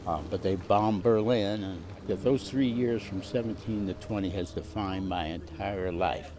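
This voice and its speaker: A man's voice: deep voice, a little slow, crowd noise. An elderly man in his eighties or older, a veteran pilot reminiscing in an interview. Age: 80s or older. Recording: noisy outdoor location interview.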